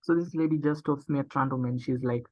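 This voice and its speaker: Young man giving an emphatic motivational training talk, heard as if over a phone. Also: medium pitch. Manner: emphatic, repeats key phrases, slows down to stress points. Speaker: business trainer. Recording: tinny phone-like sound.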